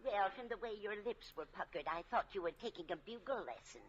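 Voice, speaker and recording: A fussy, guileless old American landlady, played by an actress in a vintage radio comedy. She sounds motherly and scatterbrained, with a medium pitch that rises and falls dramatically. The aged recording is muffled and dull. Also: lively intonation, fast talker, a crowd in the background, natural voice. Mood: chatty. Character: scatterbrained, kindly elderly landlady. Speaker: radio sitcom supporting actress.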